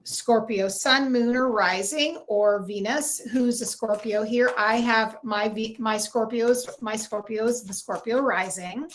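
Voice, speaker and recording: A woman's voice: a little high, slow pace, few pauses, a little hiss or hum, rough recording. Chatty woman doing a tarot livestream. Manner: chatty, reads out viewer comments, many fillers and restarts. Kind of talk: casual livestream chat.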